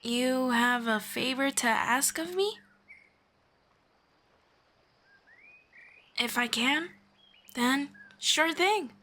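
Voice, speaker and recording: A woman playing a friendly, curious elf in a roleplay audio drama, speaking in a gentle, measured and formal way to one listener. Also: sits high, full character voice. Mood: gently cautioning.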